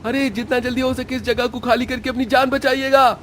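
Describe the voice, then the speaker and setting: A man playing an urgent newsreader calling out warnings in an animated story, in a declamatory manner. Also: very high-pitched, brisk, a little hiss or hum. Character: urgent radio newsreader and rescue guard giving warnings.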